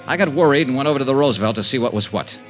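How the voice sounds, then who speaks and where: An actor playing a world-weary, compassionate insurance investigator, narrating tersely in a 1950s radio drama. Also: male voice, mid-range voice, animated, very fast, close to an everyday voice. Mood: somber, weary. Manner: terse hard-boiled narration. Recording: muffled 1950s radio recording with music.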